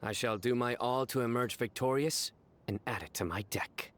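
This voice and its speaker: An actor playing a stoic, earnest man in a video game, with a formal and deadpan way of talking. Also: medium pitch, normal speed, a little hiss or hum, close to an everyday voice.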